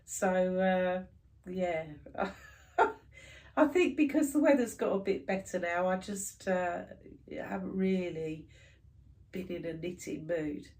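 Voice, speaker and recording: A woman's voice: fairly low pitch, takes it slowly, darkish tone. A woman in her thirties, stuffed up with hay fever, chatting casually to camera about her stitching. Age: 30s. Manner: chatty, rambling, many fillers. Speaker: cross stitch vlogger.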